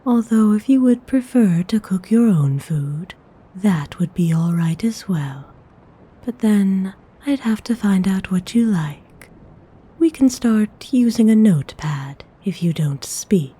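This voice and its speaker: A woman voicing a gentle, courteous vampire noblewoman in an ASMR roleplay, whispering with breathy, husky, tender formality. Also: mid-range voice, animated. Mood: reassuring, considerate. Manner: slow, hushed, tenderly formal.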